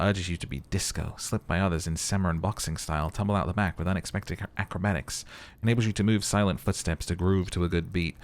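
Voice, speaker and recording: A man hosting a video game playthrough, mixing casual muttered commentary with dramatic reading of game text. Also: low-pitched. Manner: thinks aloud, muttering and humming to himself.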